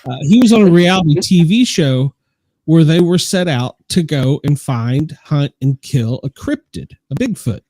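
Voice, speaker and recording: Host: man, high lively voice, dry close sound, animated storyteller. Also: moderate pace, dry recording. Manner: animated storyteller, laughs while telling. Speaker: podcast host. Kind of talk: casual podcast conversation.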